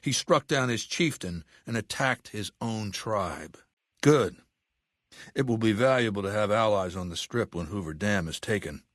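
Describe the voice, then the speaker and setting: A man's voice: fairly low pitch, expressive, normal speed, fairly bright tone, no room sound, studio quality. An actor playing an imperious middle-aged warlord dictator in a video game, commanding, articulate and contemptuous, lecturing his listener. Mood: pleased. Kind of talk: video game voice acting, scripted monologue.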